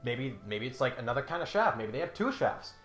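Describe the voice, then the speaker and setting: A man's voice: mid-range voice, sing-song, a little fast, a bit bright. A man hosting a comedic critique, narrating from a script in a mock-serious way with exasperated, sardonic asides. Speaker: host of a comedic critique video. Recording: roomy sound with faint music behind. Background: faint music.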